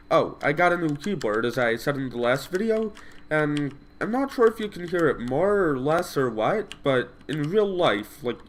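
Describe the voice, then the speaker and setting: A man's voice: mid-range voice, slight background noise. A man talks through his gameplay in a casual, rambling way, often correcting himself mid-sentence. His voice is a little stuffed up from a cold. Faint typing and room ambience sit behind his desk mic. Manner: rambling, self-correcting, thinks aloud. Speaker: gameplay commentator.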